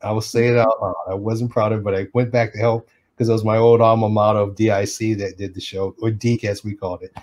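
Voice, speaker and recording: Man, middle-aged, from Detroit, lively storyteller, acts out voices, laughs a lot. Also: fairly low pitch, fast, few pauses. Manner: animated storyteller who acts out quotes and laughs often. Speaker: animation artist guest. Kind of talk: casual storytelling interview.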